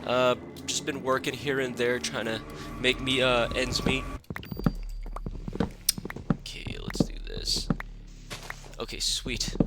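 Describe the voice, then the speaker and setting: A young man with a raspy, stuffed-up voice from a cold, chatting casually as he plays a game. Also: mid-range voice, background noise. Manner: rambling and relaxed, lots of fillers. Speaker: gaming channel host. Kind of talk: casual gameplay commentary. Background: background music.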